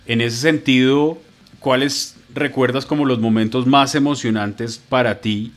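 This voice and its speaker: A man's voice: mid-range voice, steady tone, unhurried. A man interviewing someone in a casual, informal way, feeling his way through each question as he phrases it.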